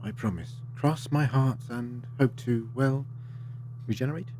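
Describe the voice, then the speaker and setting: A man's voice: mid-range voice, a little slow, lightly acted. A man playing a wry, kindly time-travelling adventurer in an audio drama, reassuring and lightly whimsical. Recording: clear background noise and hum.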